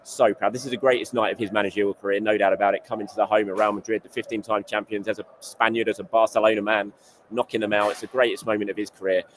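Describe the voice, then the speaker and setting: Excitable football host, low-voiced and going hoarse, rattling off a post-match report at speed in an emptying stadium. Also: male voice, slight background noise. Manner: fast, rambling and elated. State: losing his voice. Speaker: football journalist and show host.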